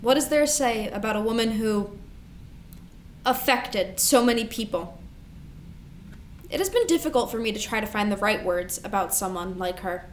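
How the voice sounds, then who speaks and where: A woman's voice: mid-range voice, expressive, normal speed, long pauses, slight background noise, lightly acted. A woman playing a responsible, composed elder sister grieving her mother in a staged play reading. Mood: solemn, measured.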